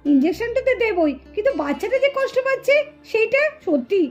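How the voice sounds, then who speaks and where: A woman's voice: extremely high pitch, very animated, brisk. A woman voicing a stern, exasperated lady doctor doll in a melodramatic puppet cartoon, scolding, tutting and insistent. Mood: reproachful. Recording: music behind the voice.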